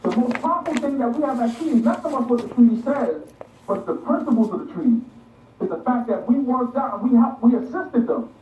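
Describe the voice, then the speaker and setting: Guy with a high voice preaching insistently, far from the mic in a roomy space. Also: animated, quick pace, darkish tone. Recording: roomy, distant sound with faint noise.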